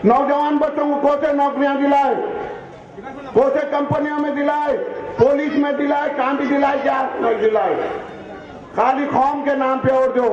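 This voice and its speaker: Male political speaker, Hyderabadi Deccani accent, very high strained-up pitch, impassioned, repetitive, exhortative, echoing dull PA recording. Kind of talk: impassioned public rally speech. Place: public meeting, loudspeaker address. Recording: echoing PA system, dull lo-fi, crowd.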